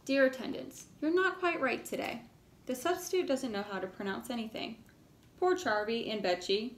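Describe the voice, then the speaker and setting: A woman reading as an earnest, indignant young schoolchild in a storybook, with expressive emphasis. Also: a little high, moderate pace, lightly acted. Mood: indignant surprise. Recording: echoey room, faint background noise.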